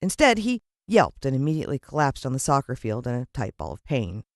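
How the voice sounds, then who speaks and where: Woman, American accent, expressive children's narration, lively intonation, deliberate pauses, dry recording. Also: a bass voice, dry recording. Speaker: audiobook narrator.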